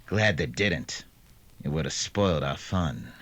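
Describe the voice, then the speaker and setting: Guy doing a gloating western outlaw, husky n bright, mean and mocking, radio play style. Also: rumbling low, lively intonation, measured pace, full character voice. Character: sly, gloating outlaw killer, menacing and mocking. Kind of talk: western radio drama acting.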